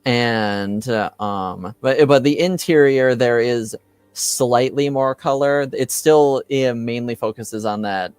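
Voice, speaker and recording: A man acting as game master, improvising descriptions for a tabletop game and often pausing mid-phrase to find his words. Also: mid-range voice, darkish tone, clear, resonant tone, no room sound.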